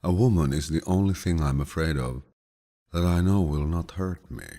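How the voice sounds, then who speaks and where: A man reading an audiobook of quotations slowly in a deep, low, husky voice, with a calm, soothing, grandfatherly manner. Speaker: audiobook narrator. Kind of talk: slow scripted audiobook narration of quotations.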